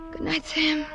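A woman's voice: very high-pitched, exaggerated voice. A woman playing a devoted, naive young secretary in 1940s American radio style, girlish and earnest, on a muffled old recording. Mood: relieved.

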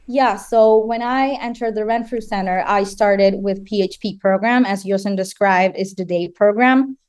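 A woman's voice: medium pitch, moderate pace, darkish tone, clear, resonant tone, no echo. Guest, woman in her twenties from Chile, earnest, some restarts, clean dry close read. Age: 20s. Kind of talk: interview.

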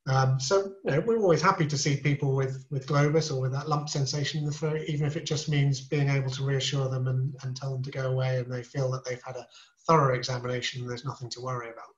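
A man explaining throat complaints to a podcast host in a measured, reassuring way, with small restarts as he talks. He sounds like a seasoned specialist. The sound is roomy and a little dull. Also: mid-range voice, fairly even intonation. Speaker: ear, nose and throat specialist guest. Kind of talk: podcast interview, explaining medical topics.

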